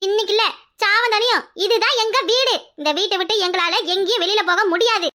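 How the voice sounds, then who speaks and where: Woman playing a very shrill, outspoken young village wife. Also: fast, sharp tone. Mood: furious. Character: outspoken young village wife, dutiful, protective, quick-tempered. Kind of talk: Tamil family drama dialogue.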